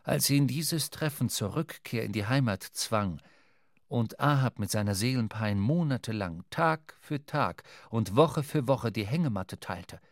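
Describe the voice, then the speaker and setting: A man narrating a literary adventure audio drama in a measured, intense, dramatic way. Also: mid-range voice, lively intonation, no echo, studio quality.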